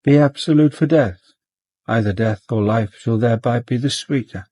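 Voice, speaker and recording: An actor playing a cultured police inspector, speaking dryly and reflectively in radio drama dialogue. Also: male voice, mid-range voice, normal speed, mellow, no echo, lo-fi sound, lightly acted. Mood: wry. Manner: dry, musing.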